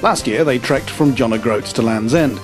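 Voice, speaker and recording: Man, news voice-over, measured and clear, mid pitch, loud music underneath. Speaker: news video narrator.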